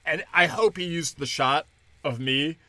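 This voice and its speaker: A young man, a stand-up comedian, rambling crudely and mockingly through a solo podcast, breaking into high mimicking voices. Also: unhurried, bright, forceful tone, no room sound.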